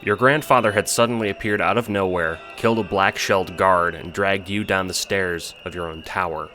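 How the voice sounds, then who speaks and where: A man's voice: deep voice, steady tone, normal speed, bright, forceful tone. A man narrating an audio drama in a dramatic, scripted storytelling style, with music behind him.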